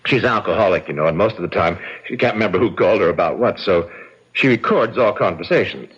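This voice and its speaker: A dull old radio recording carries a calculating, indignant brother-in-law. His voice is low and husky and swings widely as he makes his emphatic, theatrical case in a mystery drama. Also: animated, fast talker. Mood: aggrieved, self-justifying. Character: smooth, calculating, aggrieved brother-in-law suspected of murder.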